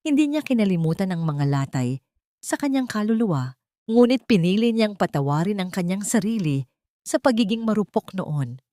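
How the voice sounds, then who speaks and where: A woman reading a scripted romantic story as narrator, in a measured, solemn and sentimental way. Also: mid-range voice, expressive, warm tone, dry recording, clean studio sound.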